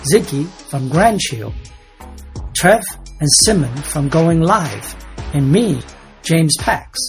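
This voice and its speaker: A man reading a scripted trailer narration in a dramatic, deliberate storytelling manner. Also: on the high side. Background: music.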